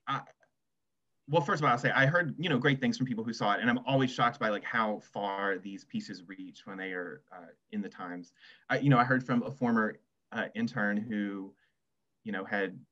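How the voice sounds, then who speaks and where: A man's voice: lowish voice, a bit bright. A man talking casually and reflectively as a writer on a panel, hesitant and self-deprecating, over a lo-fi video call. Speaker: essay writer on a literary festival panel. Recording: dull, lo-fi video call audio.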